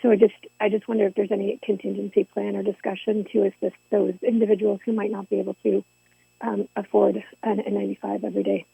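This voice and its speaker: Hoarse woman on a tinny line. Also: mid-range voice, flat delivery, quick pace, no echo. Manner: hesitant, polite, many ums. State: hoarse with laryngitis. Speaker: school board trustee. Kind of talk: formal meeting questions. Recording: tinny phone-line audio.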